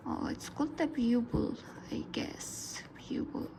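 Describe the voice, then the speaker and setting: A woman whose first language is Arabic, narrating a drawing tutorial softly, often dropping into a whisper. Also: fairly high pitch, unhurried, creaky, poor audio. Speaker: art tutor.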